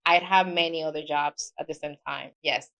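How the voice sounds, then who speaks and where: Low-voiced woman with a Spanish accent. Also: moderate pace, gravelly, poor audio. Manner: animated storytelling, non-native grammar slips. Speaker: guest, mortgage loan officer. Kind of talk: casual interview conversation.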